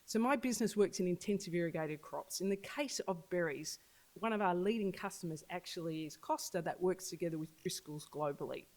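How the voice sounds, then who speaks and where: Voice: brisk, a little mellow. An agtech business leader giving an earnest launch speech to an audience at an event. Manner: earnest, pitching, repeats for emphasis. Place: event venue.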